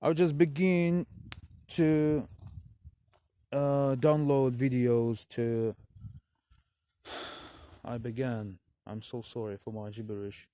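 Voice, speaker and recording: A young man whose first language is Russian talks to viewers in slow, halting English, sighing and searching for words, alone in a forest at night. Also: mid-range voice, fairly even intonation, dark tone, no echo. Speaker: vlogger.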